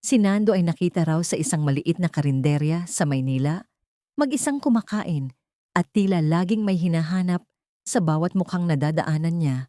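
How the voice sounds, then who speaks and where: A woman with a Filipino accent narrating a scripted dramatic story in a measured, sentimental, literary way. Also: deep voice, mellow, no room sound, studio quality.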